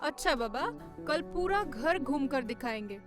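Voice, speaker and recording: Cheerful young hostess, acted by a woman, gently bossy, extremely high pitch, cartoon story, light music bed. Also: lively intonation, measured pace. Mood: organizing. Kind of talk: Hindi cartoon story voice acting.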